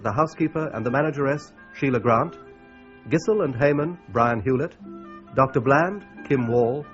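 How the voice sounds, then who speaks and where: A British man announces in a formal, scripted radio style. His mid-range voice sits over faint music, on a slightly dull broadcast recording. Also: medium pitch. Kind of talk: formal scripted credits reading.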